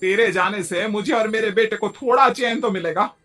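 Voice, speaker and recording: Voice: medium pitch, moderate pace, fairly bright tone, faint music behind, natural voice. A performer voicing a quarrelsome, martyred mother-in-law who scolds and complains in a Hindi moral-story cartoon. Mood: scolding.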